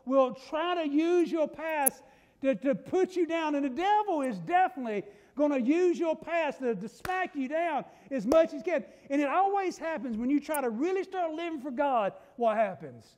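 Man, Southern US accent, middle-aged, folksy sermon, shifts loud to hushed, reverberant church hall. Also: very high-pitched. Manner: folksy storytelling preacher, swings from loud to hushed. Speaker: pastor. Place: church sanctuary. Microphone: pulpit mic from a far camera.

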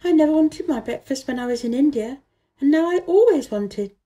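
Lady doing an eager little storybook girl, earnest, excitable, voice way up high, soft tone. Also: brisk.

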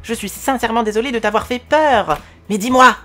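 A woman voicing a tiny, cheerful male forest elf with a high, squeaky voice, reading a storybook. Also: a little fast, faint music behind, natural voice. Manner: chatty, polite, excitable.

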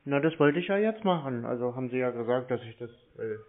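A man's voice: mid-range voice, expressive, fast talker. A man chatting casually on the phone, asking questions in a naive, puzzled way. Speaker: prank caller baiting phone scammers. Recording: tinny, narrow phone line.

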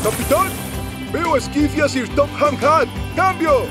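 A man's voice: very high voice, a little slow, loud music behind the voice. A man with a Latin American Spanish accent voicing an alert male rescue helicopter making radio-style calls in a cartoon dub. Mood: urgent.

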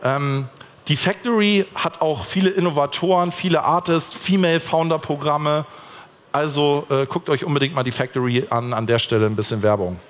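A man in his thirties gives a keynote talk while moving around a conference stage, and he speaks casually with many fillers and restarts. His voice sits a bit high for a man. It is picked up by a body mic and carries a long reverb from the large hall. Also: normal speed. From Hamburg, Germany. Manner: conversational, frequent fillers and restarts; gestures while walking the stage.

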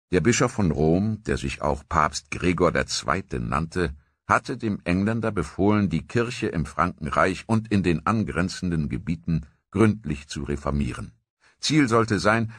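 Man, documentary narrator, measured storytelling, very low voice, dry studio sound. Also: fairly bright tone, slightly rough, no echo.

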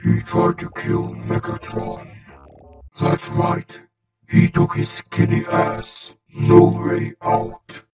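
A man's voice: high-pitched, loud music behind the voice. A man playing a cold, menacing cartoon robot lieutenant with a vocoder effect and clipped commands. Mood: indignant, scornful. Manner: slow, flat, clipped commanding delivery. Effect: robotic vocoder filter. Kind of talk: hobby voice impression of a cartoon villain robot.

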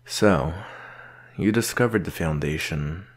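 A man playing a stern, solitary research doctor in an audio roleplay, speaking in a measured, dry, curt manner with a gravelly voice. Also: low voice. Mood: patient, measured. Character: gruff male researcher doctor, solitary, stern but patient mentor. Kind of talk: slow audio roleplay acting addressed to the listener.

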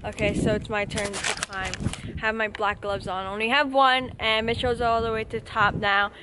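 A young woman vlogging outdoors while exploring and climbing, talking excitedly in slangy casual chat to a handheld camera at arm's length. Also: fairly high pitch, normal speed, heavy background noise. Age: young adult. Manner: excitable, slangy, exclaiming often. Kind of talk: casual vlog narration. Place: outdoors on a hilltop abandoned tower.

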